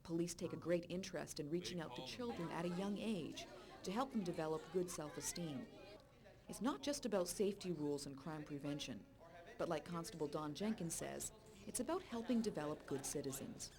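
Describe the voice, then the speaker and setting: Measured female recruitment-video narrator. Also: lowish voice, lively intonation, a crowd in the background. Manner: clear, measured informational delivery. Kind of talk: scripted voice-over narration.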